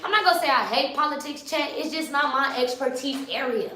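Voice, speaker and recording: A woman's voice: high-pitched, brisk, bright, forceful tone, reverberant, a little hiss or hum. A young woman from Chicago in her twenties, hosting a live stream in loose, slangy casual chat that keeps turning to the chat. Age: 20s. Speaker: livestream host.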